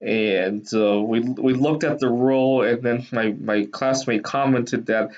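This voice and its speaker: A man from Taiwan casually telling personal anecdotes to viewers in a hesitant, halting way with frequent ums. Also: mid-range voice, fairly even intonation, darkish tone. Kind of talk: casual rambling storytelling. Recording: dull lo-fi sound.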